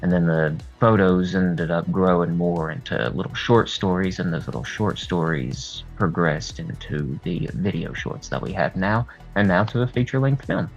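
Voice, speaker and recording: A man with a husky voice talking candidly and at rambling length as a guest in a casual interview. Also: low voice, normal speed, hardly any pauses. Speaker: horror artist and video creator, interview guest. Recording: dull lo-fi sound, music behind. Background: music.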